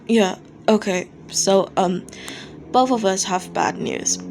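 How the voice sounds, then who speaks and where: A woman's voice: mid-range voice, takes it slowly, a little mellow. A woman voicing a blunt, foul-mouthed but apologetic male rock singer in a fan fiction reading. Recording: noticeable background noise.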